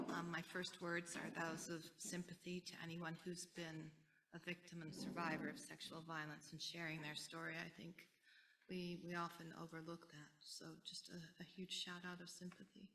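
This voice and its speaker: American woman panelist, low gravelly voice, kinda far from the mic. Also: a bit bright, slight background noise. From United States. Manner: earnest, deliberate, repeats key phrases. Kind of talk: panel talk.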